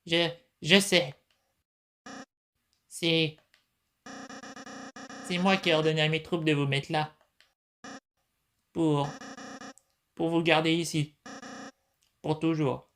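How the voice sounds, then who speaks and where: A woman playing a timid but loyal little monster prince, a young boy, with halting, earnest delivery in a dramatic game comic dub. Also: sits low, level tone, slight background noise. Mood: nervous, guilty.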